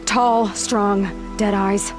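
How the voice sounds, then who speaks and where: A young warrior huntress in a video game, guarded and terse, mid-pitched voice, music behind. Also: female voice, steady tone, drawn-out words. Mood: guarded, intent. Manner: controlled, terse, questioning. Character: resolute young female warrior huntress, guarded, probing, merciful. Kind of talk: dramatic video game acting.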